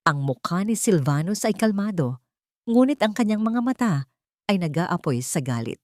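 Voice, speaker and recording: A woman's voice: mid-range voice, sing-song, normal speed, darkish tone, dry recording, clean studio sound. A woman with a Filipino accent narrating a dramatic scripted story.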